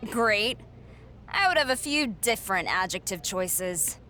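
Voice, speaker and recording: A woman's voice: medium pitch, expressive, measured pace, pushed and bright, a little hiss or hum. A woman voicing a determined young female wrestling champion in a game cutscene, earnest and defiant. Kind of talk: video game cutscene dialogue.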